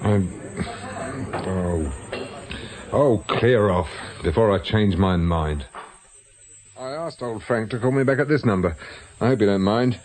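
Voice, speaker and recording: A man acting a shrewd, stubborn amateur sleuth in a British radio drama, questioning bluntly in a husky voice. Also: fairly low pitch, measured pace, pauses often, a little mellow, lightly acted. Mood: firm, probing. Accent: British English.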